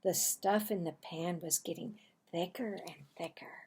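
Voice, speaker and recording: Slow, husky older woman reading aloud. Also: lowish voice, a little mellow. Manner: deliberate, dramatic emphasis for children. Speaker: storyteller reading a children's book aloud. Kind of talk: expressive scripted read-aloud narration. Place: small room indoors at a desk. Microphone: computer webcam microphone at arm's length.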